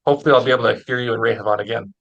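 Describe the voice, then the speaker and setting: A man's voice: mid-range voice, fairly even intonation, rapid-fire, gravelly, fairly bright tone, highs cut off. A man chatting casually as he hosts an interview. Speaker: interviewer, audio drama producer.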